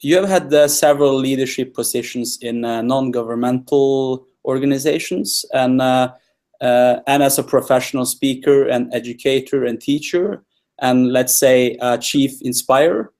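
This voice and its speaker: A man hosting an interview over a video call, asking questions with many pauses and fillers. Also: medium pitch, steady tone, a little mellow. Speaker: interview host.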